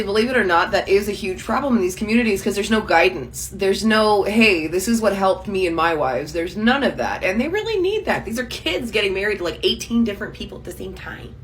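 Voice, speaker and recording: A young woman hosting a casual reaction commentary, sarcastic and quick with asides. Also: medium pitch, fairly bright tone. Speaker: commentary channel host.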